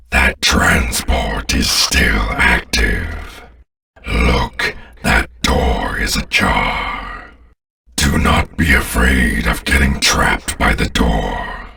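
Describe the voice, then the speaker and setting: A man playing a menacing, imperious alien warlord in a video game, with a deep rasp and a pompous, commanding, deliberate delivery. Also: bright, forceful tone, far from the mic, full character voice. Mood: watchful, instructing. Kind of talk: video game villain voice acting.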